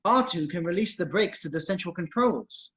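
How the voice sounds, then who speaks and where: A man performs an anxious protocol droid from space fantasy. The voice sits high and sharp, prim and full of fret. The recording is slightly dull, like a remote reading. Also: expressive, moderate pace. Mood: fretful. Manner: prim, fretful. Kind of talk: script table read.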